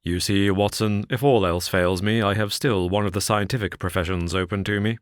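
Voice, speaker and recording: A man's voice: fairly low pitch, fairly even intonation, normal speed, no echo, studio quality, close to an everyday voice. A man voicing a genial, confident detective in an easy, self-assured manner.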